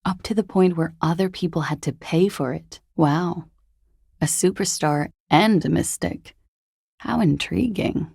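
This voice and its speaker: A woman playing a jaded, evasive young disco dancer in a video game, talking in a languid, wry and guarded way with trailing pauses. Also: fairly low pitch, gravelly, a little mellow, husky, no echo, studio quality, full character voice. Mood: evasive, measured, then ironic, coolly intrigued. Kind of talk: video game character dialogue.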